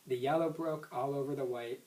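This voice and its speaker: A man's voice: medium pitch, steady tone, a little fast, a little mellow. A man reading a children's story aloud expressively, now and then stumbling and rereading words. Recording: roomy echo, distant microphone.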